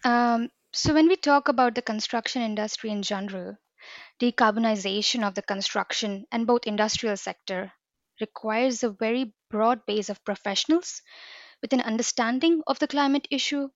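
A woman's voice: fairly high pitch, normal speed, no room sound, lo-fi sound. A woman from India, answering interview questions in a fluent, earnest, explanatory way. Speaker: guest, business developer. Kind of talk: podcast interview.

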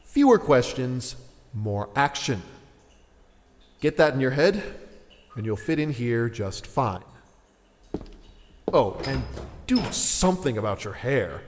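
An actor playing a condescending, bullying restaurant boss in an audio drama, mocking and domineering. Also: male voice, medium pitch, very animated, takes it slowly, pauses often, in a large hall, a little hiss or hum. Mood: smug, domineering.

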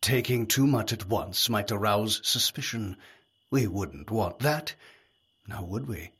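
An actor in an audio drama playing a calm, sly medieval conspirator, measured and dryly sardonic. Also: male voice, on the low side, husky, exaggerated voice. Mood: sly, controlled.

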